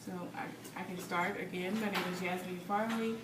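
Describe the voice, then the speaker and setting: A young woman from North Carolina leading a student group meeting, steady and informative. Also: lowish voice, moderate pace. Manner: steady, informative, with filler ums. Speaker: student organization president. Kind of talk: meeting announcements. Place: classroom. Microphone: far camera. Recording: echoey classroom, distant mic, background noise.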